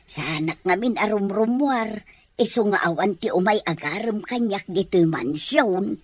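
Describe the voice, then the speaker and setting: A woman playing a cheerful, teasing older housemaid in an Ilocano audio drama, motherly and expressive. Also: mid-range voice, normal speed, lightly acted.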